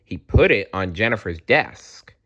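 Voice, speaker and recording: A man reading a children's book aloud as a storyteller in an animated style. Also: on the low side, a little slow, slightly rough, muffled recording.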